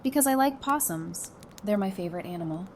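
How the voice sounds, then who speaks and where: A woman playing a sassy, sarcastic, quick-witted wife with dry, teasing delivery in an audio drama. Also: mid-range voice, animated, close to an everyday voice. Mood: mock-stern. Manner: dry, teasing, matter-of-fact. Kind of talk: fan audio drama acting. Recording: clearly noisy background.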